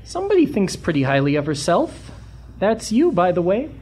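A woman's voice: on the low side, wildly swooping pitch, moderate pace, warm tone, husky, heavily acted. A woman playing a cheeky, touchy young delivery boy in a comic 1940s-style audio drama, slangy and wisecracking. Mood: needling.

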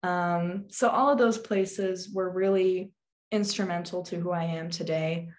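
A teenage woman on a video call, answering interview questions thoughtfully with many pauses and ums. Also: on the low side, steady tone. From England, also Turkey, Azerbaijan and Alaska. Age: teens. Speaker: guest, witchcraft YouTuber. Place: small bedroom. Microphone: video call webcam.

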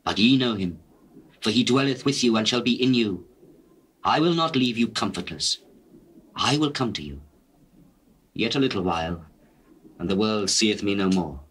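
Solemn, deep-voiced male holy teacher. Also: lively intonation, fairly bright tone, slightly rough, low-quality recording. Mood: solemn, assured. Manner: measured, solemn, declamatory. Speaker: actor in dramatized Bible recording. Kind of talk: dramatized scripture reading in archaic English.

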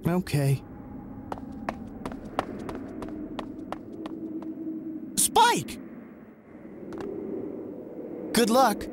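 A woman voicing a dazed, loyal boy sidekick in a video game, halting and groggy. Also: medium pitch, huge pitch swings, background noise. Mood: reluctant, loyal. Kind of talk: cartoonish video game voice acting.

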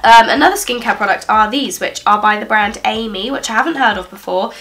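A woman's voice: mid-range voice, fairly quick, background noise. Chatty young woman with a cold. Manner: chatty, upbeat, with frequent "like" and "um". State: congested from a cold. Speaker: beauty vlogger. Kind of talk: casual haul vlog. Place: small indoor living room.

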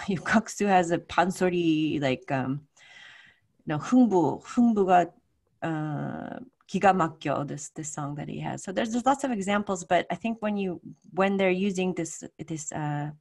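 A middle-aged woman with a low voice speaks as a guest lecturer taking questions on a video call. She thinks aloud, often searching for the right word in an informal way. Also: vocal fry. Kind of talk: informal question-and-answer at an online talk. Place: home room with bookshelves, on a video call. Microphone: large desk microphone.

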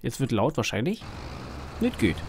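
A man's voice: medium pitch, very animated, normal speed, background noise. A man with a Berlin dialect, casually commenting on a farming game as the channel's host, rambling and thinking aloud with lots of fillers.

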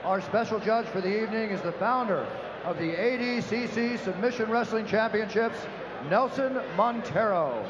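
A man working as ring announcer, calling out fighters' names with long, drawn-out shouts over a handheld mic in a large indoor arena. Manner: booming, drawn-out name calls. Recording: muffled arena PA with loud crowd noise.

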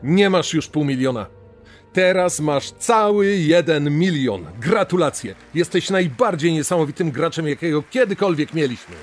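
An actor playing an excited quiz show host, announcing theatrically in a drawn-out way. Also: male voice, fairly high pitch, faint music behind, some chatter behind, natural voice. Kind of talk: game show presenting in dramatization.

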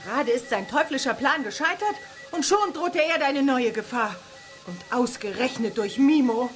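An earnest young female space adventurer in a radio play. Her high voice is expressive and full of concern, set over clear music. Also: moderate pace. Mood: worried. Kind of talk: German children's audio drama.

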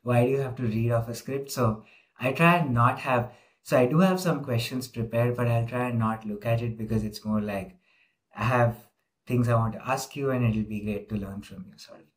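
A man's voice: medium pitch, normal speed. A man hosting an informal interview over a video call, talking casually with frequent fillers. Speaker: interviewer, host.

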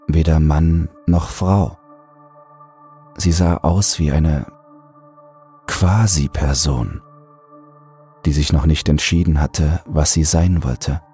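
A man narrating a scripted horror audiobook in a deliberate, brooding delivery. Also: very deep voice, steady tone, warm tone, breathy edge, faint music behind.

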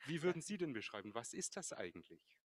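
Male moderator, thirties, formal and measured, dry handheld mic. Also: mid-range voice, big pitch swings, no room sound. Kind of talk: moderating a panel, reading quotes. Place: large café hall, indoors.

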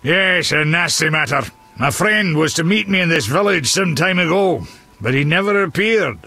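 An actor playing a gruff, hearty dwarf warrior in a fantasy game, raspy, blunt and familiar. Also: male voice, on the high side, expressive, normal speed, pushed and bright, soft background music, heavily acted. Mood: frank, friendly. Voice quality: gravelly, raspy. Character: gruff, hearty male dwarf warrior, loyal old pal. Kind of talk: fantasy video game dialogue.

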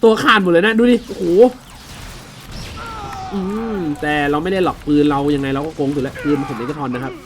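A man's voice: on the high side, animated, brisk, slight background noise. A man doing casual video game commentary, chatting to viewers with frequent exclamations and crude slang. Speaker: gaming video host. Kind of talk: casual game commentary with loosely dubbed dialogue.

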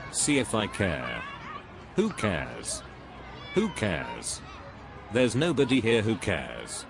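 A man performs a joyless, pompous cartoon spiritual teacher who talks down to everyone. His delivery is sententious and dismissive, with a lively swing in pitch. Faint noise runs behind the voice. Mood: sulky, defiant. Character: smug, joyless, preachy male spiritual know-it-all. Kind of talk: scripted animated dialogue.

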